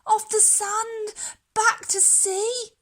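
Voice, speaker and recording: Woman voicing a high-pitched, plucky little girl snail. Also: little pitch movement, very slow, a bit bright, heavily acted. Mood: urgent, determined. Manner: exclaiming in short eager bursts. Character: tiny female sea snail, eager, plucky storybook adventurer.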